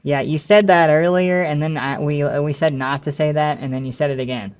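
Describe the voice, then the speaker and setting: A man's voice: a little high, fairly even intonation, a little fast, clean tone, dull audio. A man in his late twenties chatting casually with viewers while streaming a game, rambling and correcting himself as he goes, with frequent laughs. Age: 20s.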